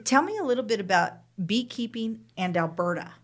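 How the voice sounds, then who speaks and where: A woman's voice: a little high, animated, brisk. A woman with a Canadian accent hosting a podcast, interviewing in a chatty, informal way. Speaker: podcast host.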